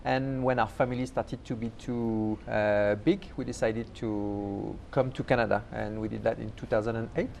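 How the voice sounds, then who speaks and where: A man from France, a native French speaker, telling his story to an interviewer in a friendly, halting way. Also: on the low side, fairly even intonation, gravelly, a little mellow, a little hiss or hum. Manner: friendly, halting, with many fillers. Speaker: program coordinator, guest. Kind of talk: casual interview answers.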